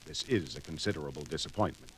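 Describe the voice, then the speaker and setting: A man playing a coolly logical alien science officer in a 1970s audio drama, with measured, formal and precise diction. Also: very deep voice, slight background noise, rough recording. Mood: composed. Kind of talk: 1970s space adventure audio drama acting. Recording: 1970s record drama over clear music.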